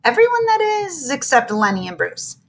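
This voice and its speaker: A woman narrates a children's storybook aloud. Her voice is high, with very animated pitch that rises and falls through the expressive reading. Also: normal speed, creaky, a little hiss or hum. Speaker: storybook reader.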